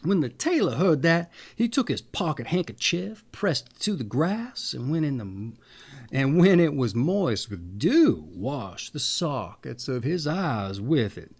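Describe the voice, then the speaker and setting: A man narrating a fairy tale in an expressive, deliberate storytelling manner. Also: on the high side, slightly rough.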